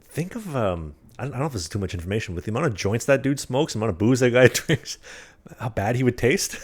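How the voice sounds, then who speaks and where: A man's voice: medium pitch, expressive, quick pace. A man co-hosting a podcast, joking and laughing through casual chat. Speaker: podcast co-host. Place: apartment recording studio.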